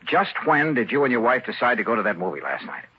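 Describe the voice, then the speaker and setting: An actor in a 1950s radio drama playing a hard-boiled investigator who narrates and gives orders in a brisk, commanding, wry manner. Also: male voice, mid-range voice, sing-song, breathy edge, highs cut off. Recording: old radio broadcast with hiss.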